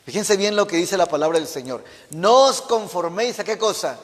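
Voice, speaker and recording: A pastor with a notably high voice for a man, preaching with fervour and firing questions at his flock. His words ring out with the long reverb of a church hall. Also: lively intonation, moderate pace. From Mexico. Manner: fervent preaching with rhetorical questions to the congregation. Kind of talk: sermon.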